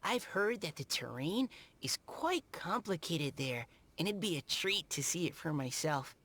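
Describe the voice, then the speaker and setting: Polite eager game surveyor, bit low, very expressive, curious. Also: female voice, a little slow, husky, full character voice. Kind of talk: video game character acting.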